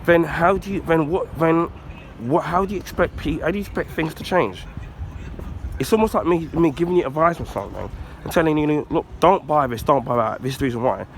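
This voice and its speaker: A man from the UK with a husky, congested voice from the flu, giving a casual rambling rant with many ums and throat clearing. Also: on the high side, animated, a little fast, a little hiss or hum. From United Kingdom. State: husky, recovering from flu, blocked nose. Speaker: YouTuber.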